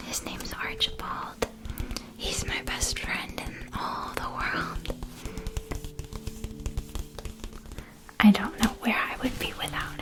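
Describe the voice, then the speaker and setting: Gentle witch shopkeeper, acted by a woman, whispered, unhurried, soothing and reassuring, fantasy ASMR roleplay. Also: mid-range voice, close to an everyday voice. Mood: fond, chatty. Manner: soft, soothing, halting with reassurance.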